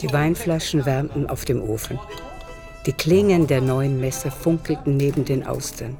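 A man narrating a literary radio play in a measured, deliberate manner. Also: medium pitch, music in the background, lightly acted.

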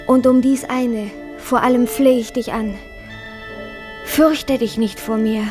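A lovesick young invalid, her voice fairly high and unhurried. She pleads with fervent longing in radio-drama style over clearly audible violin music. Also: steady tone. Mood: yearning, pleading. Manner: slow, yearning, imploring declamation. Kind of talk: letter read as dramatic monologue in radio drama. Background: violin music behind.

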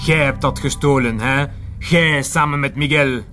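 An actor playing a menacing militant in an audio drama, taunting and deliberate. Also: male voice, mid-range voice, steady tone, sharp tone, soft background music. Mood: angry, accusing. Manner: taunting, deliberate, switching between Dutch and Spanish. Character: menacing Basque militant, interrogating man.

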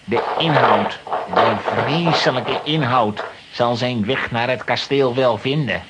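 A man's voice: medium pitch, slow, close to an everyday voice. A man playing an ominous, vengeful vagrant brooding aloud in a children's audio drama. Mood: ominous, resolute. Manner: brooding monologue. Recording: very noisy drama recording.

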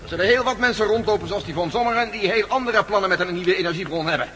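A worried, earnest adventurer in a radio drama, sounding a note of caution. His high voice comes quickly and sharply. It rings in a long reverb over faint noise. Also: a bit bright. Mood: grave, warning. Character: worried, sober male adventurer warning his idealistic friend. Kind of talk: Dutch radio drama acting.

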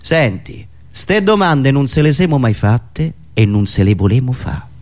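Stubborn male grassroots militant, done by a male satirist, Roman accent, blunt colloquial dogmatism, lively pitch movement. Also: a little slow. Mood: defensive. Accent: Roman Italian. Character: gullible, stubborn grassroots party militant, a man.

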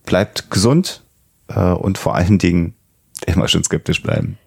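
Low-voiced man, creaky podcast host. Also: moderate pace, no echo, studio quality. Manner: informal, addressing listeners directly. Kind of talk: casual podcast chat with listener announcements. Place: home podcast studio. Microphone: headset.

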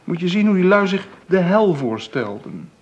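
A man's voice: sits high, big pitch swings, moderate pace, lo-fi sound. Spottende jongeman met levendige intonatie. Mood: scornful. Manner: teasing, rhetorical, condescending. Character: mocking, provocative young atheist man, smug, condescending. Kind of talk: Dutch radio drama acting.

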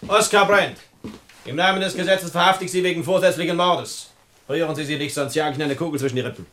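An actor playing a tough, brisk, commanding police inspector in a 1960 German radio play. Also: male voice, fairly high pitch, fairly bright tone, close to an everyday voice.

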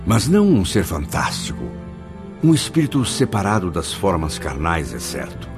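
Radio play narrator, male: compassionate spirit, grave and dramatic, lively pitch movement, music underneath. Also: heavily acted. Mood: earnest. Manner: solemn, dramatic, deliberate delivery. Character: earnest, compassionate male spirit narrator recounting his memories.

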